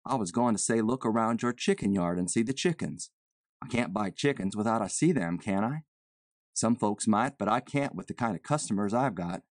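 A woman's voice: rumbling low, expressive, normal speed, darkish tone, dry recording, clean studio sound, voice pushed down. A woman voicing a nervous, evasive man who poses as a chicken buyer, with folksy, ungrammatical, rambling talk. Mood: nervous, persuasive.

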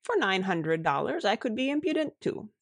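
A woman voicing a shrewd, good-natured, calm housewife who speaks wryly in foreign-tinged broken syntax. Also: mid-range voice, moderate pace, no echo, clean studio sound, pitched above the performer's own voice.

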